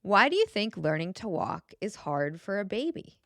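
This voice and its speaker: A woman reading a children's picture book aloud in a gentle, expressive way, pausing to put questions to the young listener. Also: medium pitch, no echo, studio quality. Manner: gentle, expressive, clearly enunciated storybook delivery.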